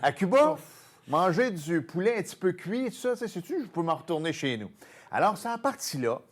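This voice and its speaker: A man with a Québécois French accent hosting a casual studio chat, telling stories with animated loud bursts. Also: high voice, brisk. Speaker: host.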